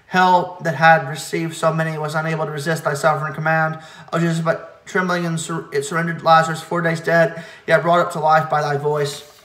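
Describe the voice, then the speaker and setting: A man solemnly reciting liturgical hymn verses in a measured way, now and then stumbling over a word. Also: a little high, flat delivery, fairly bright tone. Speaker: reader of church liturgy. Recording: echoey, reverberant room.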